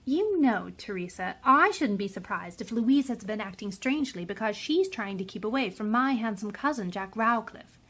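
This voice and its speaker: A woman's voice: mid-range voice, expressive, normal speed, few pauses, fairly bright tone, husky. A woman playing a breezy, bored wealthy society matron with a languid, teasing way, in a radio drama. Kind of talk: old-time radio drama acting.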